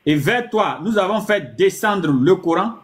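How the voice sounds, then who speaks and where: A man taking part in a debate, reading scripture passages aloud in a steady way. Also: high-pitched, unhurried.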